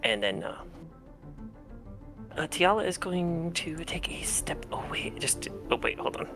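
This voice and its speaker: A tabletop roleplaying player talking casually through game turns, halting and self-correcting along the way. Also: mid-range voice, very animated, in a whisper. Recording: music behind the voice. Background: background music.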